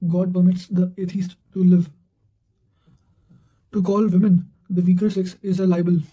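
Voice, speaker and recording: Guy w high voice, really soft mellow tone, reading out revision notes kinda formally, trips on words now and then. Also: low-quality recording. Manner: formal, halting reading with occasional stumbles. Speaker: narrator reading study notes. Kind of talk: read-aloud quotations and revision notes.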